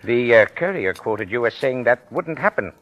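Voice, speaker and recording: An actor playing a greedy, crooked small-town mayor and banker, blunt and folksy, in 1940s radio style. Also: male voice, mid-range voice, big pitch swings, normal speed, a bit bright, dull audio. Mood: guarded, greedy. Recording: vintage radio drama recording.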